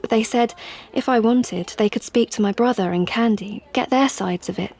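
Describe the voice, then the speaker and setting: A woman's voice: on the high side, moderate pace, a little mellow. An actress playing a regretful, earnest young mother confessing in an audio play monologue. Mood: earnest, reflective. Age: young adult.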